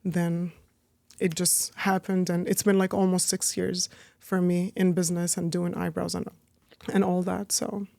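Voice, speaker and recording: A woman's voice: lowish voice, flat delivery, a little slow, gravelly, mellow, dry recording. Chatty Palestinian-born woman, dry sound. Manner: chatty, heavy use of "like" and "you know". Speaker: guest, business owner. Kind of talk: casual interview talk.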